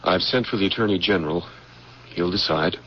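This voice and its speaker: An actor playing an earnest, dutiful military security officer in an old-time radio drama, solemn, formal and emphatic. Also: male voice, sits low, fairly quick, husky. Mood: firm, apologetic. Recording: dull, noisy old radio recording.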